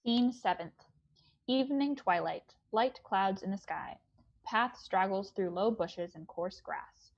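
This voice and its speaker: A woman's voice: mid-range voice, takes it slowly, lo-fi sound. A woman reading stage directions as scripted narration for a dramatic play reading.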